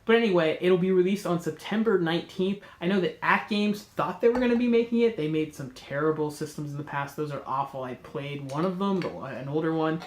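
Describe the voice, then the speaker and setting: Stuffed-up nasal guy with a cold, kinda high voice, rambling excitedly, echoey room w/ faint hiss. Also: a little fast, hardly any pauses. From North America. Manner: enthusiastic, rambling, self-correcting. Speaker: host. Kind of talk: casual chat.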